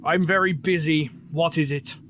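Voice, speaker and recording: A man acting an aloof, commanding pony who rules a theater in an audio drama, speaking in a dry, imperious, measured way. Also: fairly high pitch, steady tone, a bit bright, slight background noise, lightly acted. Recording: music bed behind the voice.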